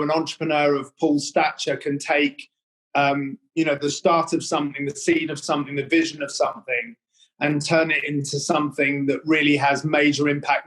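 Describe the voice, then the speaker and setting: Guy interviewing, kinda higher pitched, lots of ums, rambly questions. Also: a bit bright. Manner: rambling, many fillers and restarts. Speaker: interview host. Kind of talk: hesitant conversational interview.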